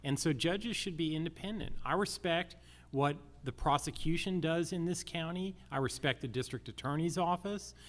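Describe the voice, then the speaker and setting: An American man speaking as a judicial candidate at a public forum, deliberate and using emphatic repetition. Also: on the high side.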